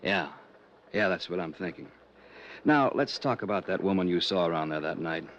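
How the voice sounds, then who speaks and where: An American actor playing a gruff, blunt, businesslike police lieutenant in 1950s radio drama style. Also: male voice, deep voice, animated, normal speed, a bit bright, lo-fi sound. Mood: insistent. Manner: blunt, matter-of-fact. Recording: old radio recording with faint noise.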